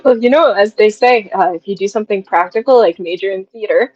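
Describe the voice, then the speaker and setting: A woman's voice: medium pitch, brisk, no echo. Young woman, dry slightly muffled recording. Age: 20s. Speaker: guest, audio drama writer. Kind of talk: casual interview, reflective storytelling.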